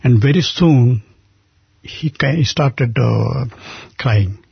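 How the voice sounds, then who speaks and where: An older man with an Indian accent, telling stories in an earnest, halting way with many restarts. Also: mid-range voice, creaky, breathy edge, no room sound. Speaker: guest. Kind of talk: conversational storytelling. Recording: dull muffled lo-fi sound.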